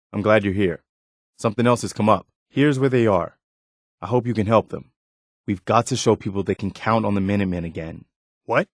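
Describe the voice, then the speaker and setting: Game companion role, male actor: sincere, soldierly militia officer, loyal and dutiful, lowish pitch, close dry recording. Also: no room sound, lightly acted. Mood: earnest, resolute, then indignant. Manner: sincere, plain-spoken, soldierly. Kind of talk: scripted game dialogue.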